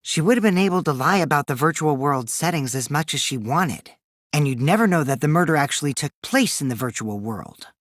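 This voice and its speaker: Impish, animated teenage trickster boy. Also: fairly high pitch, brisk, dry recording, clean studio sound. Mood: sly, cool. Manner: childish, singsong mockery, theatrical swings from gleeful to coolly sly. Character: mischievous, taunting teenage boy, a compulsive trickster and liar. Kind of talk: English video game character voice acting.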